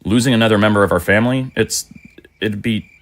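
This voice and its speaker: A man's voice: on the low side, fairly quick, close to an everyday voice. A man playing a weary, worried middle-aged father in an audio drama. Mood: distraught.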